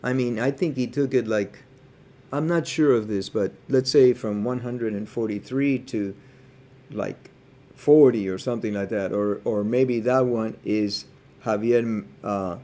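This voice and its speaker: A man's voice: medium pitch, measured pace, warm tone. Earnest man from Cuba on a podcast. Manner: earnest, opinionated, thinks aloud with hedges. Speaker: podcast co-host. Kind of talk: casual podcast chat.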